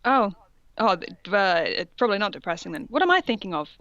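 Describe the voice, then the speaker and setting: A woman's voice: mid-range voice, big pitch swings, quick pace, fairly bright tone, no echo, lo-fi sound. A woman co-hosting a comedic read-aloud, amused and breaking off for mocking asides. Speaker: co-host reading fan fiction aloud.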